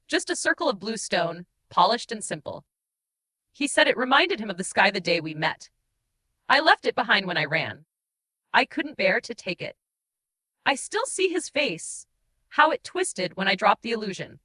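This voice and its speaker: A woman's voice: medium pitch, bright, forceful tone, rough recording. A woman voicing a guilt-ridden, tender shapeshifting changeling who confesses in mournful, dramatic first-person audiobook narration. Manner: emotive, mournful storytelling with dramatic pauses.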